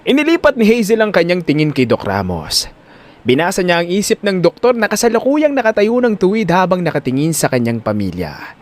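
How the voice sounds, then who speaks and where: Animated male audio drama narrator. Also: sits high, fairly quick.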